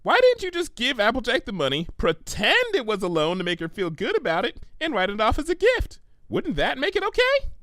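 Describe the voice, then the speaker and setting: A man voicing a bubbly, excitable female pink cartoon pony, chirpy and eager in manner. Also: extremely high pitch, fairly quick, dry recording, studio quality, voice pushed up, full character voice. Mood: curious, eager.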